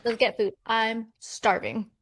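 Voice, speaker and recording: A woman's voice: on the high side, steady tone, takes it slowly. A woman playing a skeptical, practical millennial twin sister from New York in a romcom table read. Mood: brisk.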